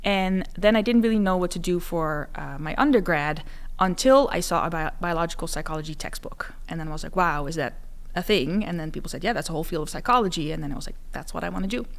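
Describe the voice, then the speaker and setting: A woman's voice: fairly low pitch, fast, vocal fry. A woman from the Netherlands, a professor explaining her research conversationally as an interview guest. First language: Dutch. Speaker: psychology professor, podcast guest.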